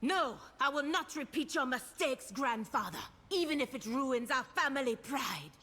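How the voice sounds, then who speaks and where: A woman's voice: high-pitched, animated, normal speed, sharp tone, a little hiss or hum. A woman voicing a proud, determined young troll warrior in a video game, speaking earnestly and resolutely. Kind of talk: video game character acting.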